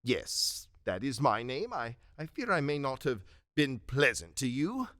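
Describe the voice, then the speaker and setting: A man playing a reformed old gentleman in a radio drama, eager and apologetic, with a halting, courteous manner. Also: medium pitch, very animated, no echo, clean studio sound. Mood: apologetic, earnest. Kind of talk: community radio drama acting.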